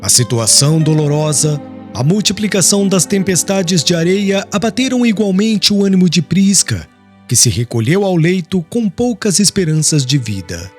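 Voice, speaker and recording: A man reading an audiobook in measured, solemn scripted narration. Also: high-pitched, lively intonation, soft background music.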